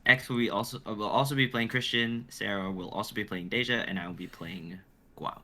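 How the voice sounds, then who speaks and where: A man's voice: lowish voice, fairly even intonation, a little fast, bright, forceful tone, a little hiss or hum. A man in his twenties, talking casually and narrating stage directions for an informal script reading. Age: 20s.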